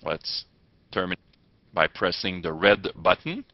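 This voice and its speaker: Deep voiced guy doing a how-to walkthrough, kinda halting and formal, dull lo-fi sound. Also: animated. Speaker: instructor. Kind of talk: step-by-step phone tutorial narration. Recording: muffled, echoey lo-fi room recording.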